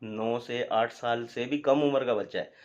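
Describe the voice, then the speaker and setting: A man's voice: mid-range voice, fairly even intonation, measured pace. A man with a Pakistani accent gives an explanatory law lecture, teaching didactically and often asking questions that he answers himself. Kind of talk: explanatory lecture, mixing Urdu with English legal terms. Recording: dull, lo-fi sound.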